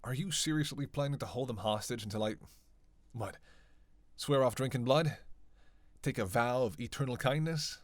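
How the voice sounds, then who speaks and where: A man's voice: medium pitch, normal speed, pauses often, close to an everyday voice. A man playing a sardonic, playful vampire who banters sarcastically with his hunter in an audio roleplay. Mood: exasperated. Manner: sarcastic, playful, drawling asides with sighs and scoffs. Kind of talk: audio roleplay acting, one-sided dialogue.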